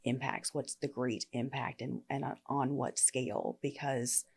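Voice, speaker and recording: A woman epidemiologist speaking as an interview guest, explaining firmly and clearly. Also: very deep voice, flat delivery, a little slow, darkish tone. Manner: firm, explanatory. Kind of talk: conversational interview.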